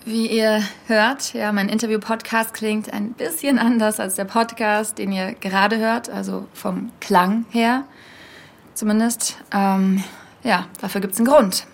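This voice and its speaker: An actress playing an earnest young podcaster who talks informally and hesitantly, like a confessional monologue in an audio drama. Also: female voice, mid-range voice, fairly even intonation, a little slow, natural voice. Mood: confiding. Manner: hesitant, self-conscious, informal. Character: young female podcaster, earnest, self-conscious. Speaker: podcast host.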